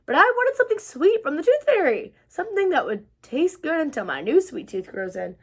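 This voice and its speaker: A woman voicing an excitable, demanding little girl from a storybook, emphatic and dramatic, turning whiny when let down. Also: high voice, fairly quick.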